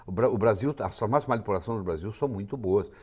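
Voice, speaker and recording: Husky older Brazilian man explaining. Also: fairly low pitch, rapid-fire, darkish tone. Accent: Brazilian Portuguese. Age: 60s. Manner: confident, lecturing explanations. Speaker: physician guest. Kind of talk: TV interview.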